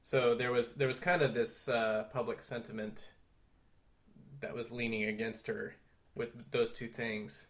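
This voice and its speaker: A man co-hosting a podcast, talking through film history conversationally in a measured, explanatory and thoughtful way. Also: mid-range voice, flat delivery, fairly bright tone. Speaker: podcast co-host.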